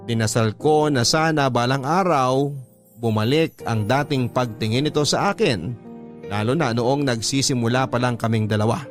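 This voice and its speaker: A man's voice: medium pitch, close to an everyday voice. Man reading as sorrowful storyteller over music. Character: sorrowful letter sender recounting painful lost love. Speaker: radio drama narrator. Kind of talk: scripted first-person narration.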